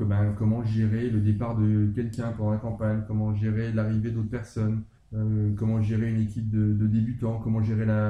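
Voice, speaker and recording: A man's voice: fairly low pitch, echoey room, lo-fi sound. A man co-hosting a podcast, talking casually and hesitantly, with many fillers and restarts. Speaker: podcast co-host discussing a roleplaying campaign.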